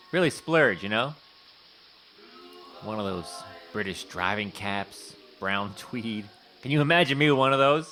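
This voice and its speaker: An actor playing a tenacious talent scout, talking fast like a salesman in an audio drama. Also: fairly low pitch, sharp tone, slight background noise, full character voice. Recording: music playing under the voice.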